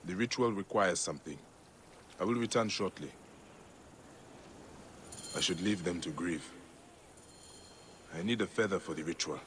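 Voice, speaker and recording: An actor playing a solemn, resolute ancient Egyptian warrior in a dramatic game cutscene. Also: male voice, low-pitched, moderate pace, long pauses, fairly bright tone, slight background noise. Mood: gentle, solemn.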